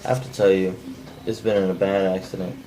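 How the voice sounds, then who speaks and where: A man reading the part of a formal, matter-of-fact police officer in a flat, halting script read, with a gravelly voice. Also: low voice, natural voice, poor audio. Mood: businesslike. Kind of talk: cold table read of a film script. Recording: faint background noise.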